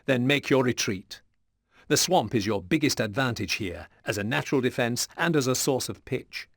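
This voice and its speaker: A man delivering measured, serious scripted narration for video game mission briefings. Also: medium pitch, lively intonation, a bit bright, dry recording, studio quality. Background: music with plucked guitar.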